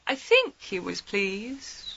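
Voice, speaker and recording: An actress playing a sharp lady detective in a radio mystery, politely needling with chatty, digressive, mock-innocent hesitations. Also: mid-range voice, wildly swooping pitch, slow pace, creaky, highs cut off, a little hiss or hum, exaggerated voice. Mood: probing, coy. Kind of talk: scripted radio mystery acting.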